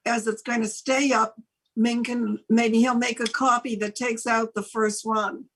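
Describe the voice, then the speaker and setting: An older woman co-hosting a casual talk show, speaking in a measured, halting way. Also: fairly high pitch, flat delivery, fairly bright tone. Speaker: podcast co-host. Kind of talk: casual chat.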